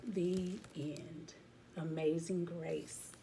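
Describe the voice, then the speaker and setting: A woman reading a children's picture book aloud as a teacher would, expressively, pausing to comment to young listeners. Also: on the low side, steady tone, warm tone, a little hiss or hum.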